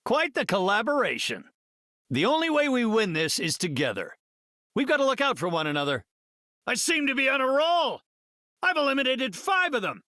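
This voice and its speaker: An actor playing a brainy, confident scientist hero in a video game, speaking in an articulate, measured and slightly formal way. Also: male voice, very high voice, big pitch swings, pushed and bright, no echo, studio quality, exaggerated voice. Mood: confident, triumphant. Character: brainy, confident, earnest male scientist superhero leader.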